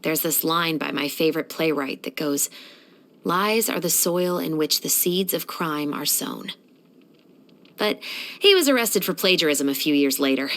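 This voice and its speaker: Low-voiced, composed female patrol captain. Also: animated, measured pace, fairly bright tone, full character voice. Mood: dry, wry. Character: stern, dutiful young female patrol captain, principled and brisk. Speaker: video game character voice actor. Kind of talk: scripted video game character voice lines.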